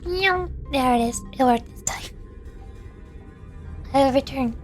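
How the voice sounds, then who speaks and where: High-voiced streamer woman losing her voice. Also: unhurried, faint music behind. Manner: bubbly, chatty, reacts to chat. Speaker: VTuber streamer. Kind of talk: casual live-stream chat while voice acting a game.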